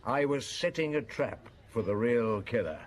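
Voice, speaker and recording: An actor playing a shrewd middle-aged Paris police chief, who recalls a case in a wry, chuckling way for a radio drama. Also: male voice, medium pitch, animated, moderate pace, natural voice. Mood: reminiscing. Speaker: narrator and detective.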